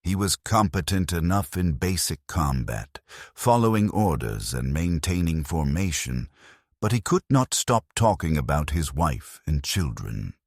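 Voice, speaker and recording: Very deep-voiced man narrating a grim story. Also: big pitch swings, unhurried, no room sound, clean studio sound. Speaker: narrator of fiction stories. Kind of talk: scripted first-person narration of a grim military sci-fi story.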